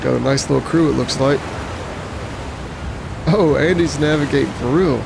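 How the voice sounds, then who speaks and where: A man's voice: medium pitch, moderate pace, creaky, background noise. A man giving casual let's play commentary on a video game.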